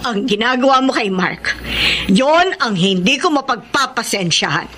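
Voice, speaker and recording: A high, very animated Filipino mother acted by a woman in a radio drama. She scolds and accuses with open menace. The broadcast has clear background noise. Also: normal speed, close to an everyday voice. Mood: accusing. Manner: confrontational, accusing, threatening. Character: angry, scolding mother distrustful of her son's partner.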